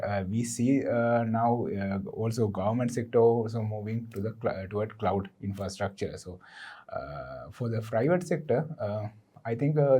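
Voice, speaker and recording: A man on a roundtable panel, speaking haltingly and restarting his words. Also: lowish voice, warm tone, slightly rough. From Sri Lanka. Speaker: solution specialist, panelist.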